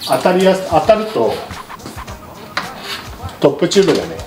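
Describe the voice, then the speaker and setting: A man's voice: a little high, wildly swooping pitch, moderate pace. A middle-aged man politely explaining a product to camera in a casual, unscripted way, as a shop presenter. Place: small indoor studio with paper backdrop. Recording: roomy echo, music behind.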